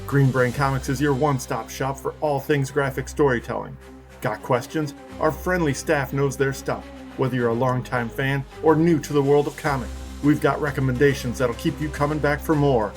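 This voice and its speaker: A man's voice: mid-range voice, normal speed. Upbeat male ad announcer over music. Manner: upbeat, salesy. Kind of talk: scripted radio ad read. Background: music bed.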